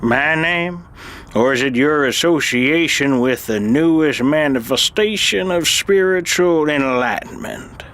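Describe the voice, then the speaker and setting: A man's voice: on the high side, unhurried, fairly bright tone. A man playing a cranky, tired old alien uncle, a sardonic, grumbling chief historian in an audio drama.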